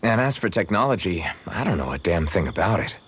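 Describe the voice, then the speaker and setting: Game hero: young swordsman, lowish husky voice, casual, modest and good-natured. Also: big pitch swings, brisk, full character voice. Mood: unsure, self-deprecating. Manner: casual, unpretentious. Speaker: video game protagonist. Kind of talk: English-language video game character dialogue.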